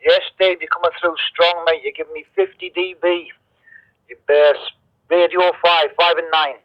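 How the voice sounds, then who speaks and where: Guy on a cb radio, dull lo-fi signal, slightly high voice, casual radio lingo. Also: level tone, moderate pace. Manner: informal, uses radio slang. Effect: CB radio transmission. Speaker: CB radio hobbyist. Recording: muffled CB radio link.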